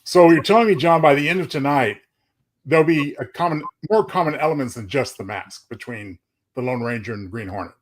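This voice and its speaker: A man's voice: mid-range voice, animated, brisk, slight background noise. A man co-hosting a radio show in casual, conversational chat.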